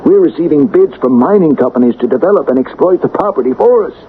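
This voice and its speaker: A man's voice: a little high, lively intonation, fast. An actor playing a persuasive, calculating small-town man in a radio drama, insistent and coaxing in delivery. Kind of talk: 1970s radio drama acting. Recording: tinny, noisy old radio broadcast.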